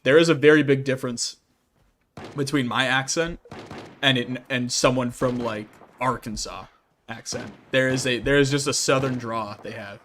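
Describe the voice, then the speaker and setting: Midwestern guy streaming a game, reads chat out loud, chuckles a lot, slight hiss in the background. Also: mid-range voice, fairly even intonation, normal speed. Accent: Midwestern American. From Midwestern United States. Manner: reads chat messages aloud and answers them, laughing often. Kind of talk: casual livestream chat while gaming.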